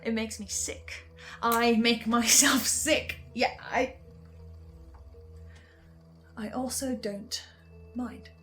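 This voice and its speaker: A high-pitched, haunted archivist, acted by a woman, confesses hungrily to the listener in a horror drama. The delivery is theatrical and halting, with soft music underneath. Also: fairly even intonation. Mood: brooding, confessional. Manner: theatrical, halting, swinging from whispers to outbursts. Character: tormented, obsessive, hungry archivist confessing to the audience. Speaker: host of a horror listen-along series. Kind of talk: dramatic in-character monologue to the listener.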